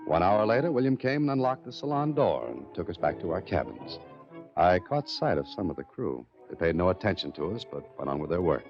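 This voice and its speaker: Radio lead: cool, wry adventurer-novelist, low voice, dry delivery, dull vintage recording with music. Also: male voice, big pitch swings, brisk. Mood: measured narration. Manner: dry, composed, clipped. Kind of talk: 1940s radio acting and first-person narration.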